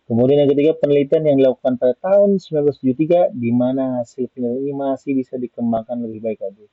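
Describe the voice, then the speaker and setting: Phone-like narrow sound, husky male voice, Indonesian accent, halting presenter, repeated phrases, slide-by-slide explanation. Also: medium pitch, no room sound. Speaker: student presenter reviewing an article. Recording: muffled phone-like narrowband audio, dry.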